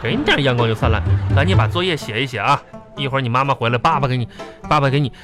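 A man's voice: medium pitch, lively intonation, rapid-fire, lightly acted. A man with a northern Chinese accent playing an exasperated, blustering father who sputters and repeats himself in a comedic radio drama. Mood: nagging, indulgent. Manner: sputtering, repeats words when flustered. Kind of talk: live comedic radio drama, earthy and colloquial. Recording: music playing behind.

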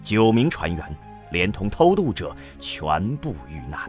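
A man's voice: on the low side, sing-song, measured pace, darkish tone. A man reading dramatic scripted narration for a science fiction radio drama, over music.

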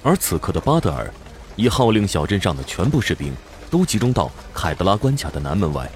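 Deep, animated male drama announcer. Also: a little fast, slight background noise. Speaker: announcer and narrator. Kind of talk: scripted dramatic trailer narration. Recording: music behind the voice.

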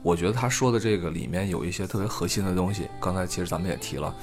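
A man's voice: sits low, rapid-fire, over music. A middle-aged man co-hosting a podcast, thinking aloud in casual, unscripted chat and stressing his points. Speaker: podcast co-host.

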